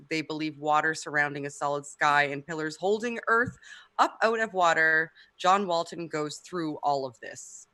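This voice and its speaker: A man's voice: on the high side, sharp tone, no room sound. A man moderating a debate, keeping things moving and reading out audience questions.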